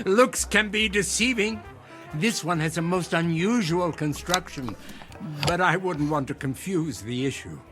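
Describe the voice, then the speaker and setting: An actor playing a jovial, sly and mysterious dandy in an audio drama, genial and theatrical. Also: male voice, a little high, animated, measured pace, over music.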